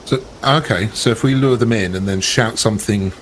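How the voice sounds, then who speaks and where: A man's voice: fairly low pitch, moderate pace, a little hiss or hum. A man playing in a tabletop game, thinking his plans aloud with many restarts. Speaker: player. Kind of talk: casual tabletop play.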